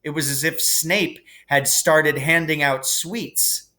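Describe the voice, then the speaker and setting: A man narrating a children's novel aloud as a teacher would, measured and expressive. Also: fairly high pitch. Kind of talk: storybook narration.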